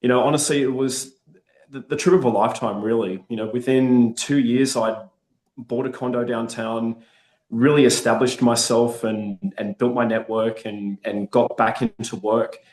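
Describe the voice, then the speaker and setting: A man's voice: mid-range voice, fairly even intonation, moderate pace, darkish tone, echoey room, poor audio. A man from Australia with an Australian accent, chatting upbeat and optimistic as a guest in an interview. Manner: upbeat, chatty, frequent restarts. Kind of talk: casual interview.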